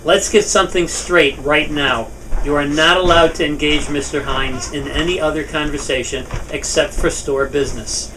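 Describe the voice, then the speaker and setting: A man's voice: on the high side, fairly even intonation, normal speed, bright, forceful tone. A man playing an angry, domineering shop boss with a scolding, sarcastic manner in a table read. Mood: stern, threatening. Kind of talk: table read of a film scene. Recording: distant mic, noisy room.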